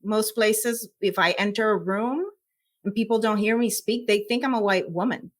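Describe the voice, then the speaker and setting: A woman's voice: medium pitch, fairly even intonation, moderate pace. A woman from Puerto Rico with a Puerto Rican accent, giving reflective, emphatic answers as an interview guest. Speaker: podcast guest, scientist.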